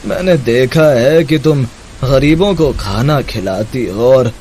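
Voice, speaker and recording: A man voicing a gentle, grateful elderly man in an animated moral story, speaking slowly and solemnly. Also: mid-range voice, slight background noise, close to an everyday voice. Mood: solemn, warm. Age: older. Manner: slow, solemn.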